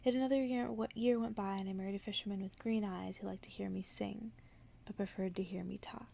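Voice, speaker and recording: A woman softly reading a bedtime story in an ASMR style, hushed and gentle, slipping into whispers. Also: mid-range voice, steady tone, brisk, gravelly, slight background noise. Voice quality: soft, partly whispered. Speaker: ASMR narrator.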